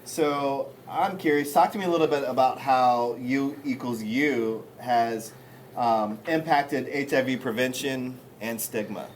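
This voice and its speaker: A man hosting a community panel discussion, speaking with many pauses and fillers. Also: medium pitch, a little hiss or hum. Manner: hesitant, many ums and uhs. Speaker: host.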